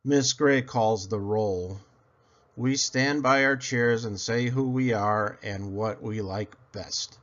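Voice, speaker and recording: A man reading a children's picture book aloud as scripted narration, in an expressive storytelling manner. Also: on the low side, slow, dull audio.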